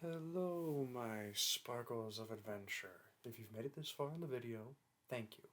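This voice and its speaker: Male creator signing off to his audience, medium pitch, unpolished and self-effacing, loose conversational delivery. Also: moderate pace, a bit bright. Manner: modest, hesitant, informal. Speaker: voice actor, channel creator. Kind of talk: casual rambling outro to listeners.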